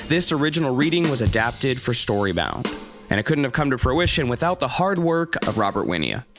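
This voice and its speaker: An American man reads scripted host announcements for a podcast. His pitch is average, and music plays clearly behind his voice. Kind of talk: scripted outro narration.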